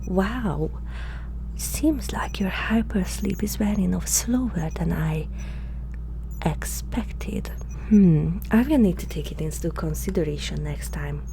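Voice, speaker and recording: Woman playing a slow, dark-voiced curious alien scientist. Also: on the low side, animated, vocal fry, slight background noise, full character voice, poor audio. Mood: curious, polite. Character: curious, eager, polite alien scientist, reassuring, slightly awkward novice. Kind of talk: ASMR roleplay addressed to the listener.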